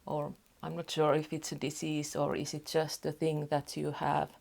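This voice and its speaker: A woman with a Finnish accent, chatting casually at home as a beauty vlogger, soft-spoken and rambling with small restarts. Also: low voice, monotone, normal speed, gravelly. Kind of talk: casual get-ready-with-me chat. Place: home apartment.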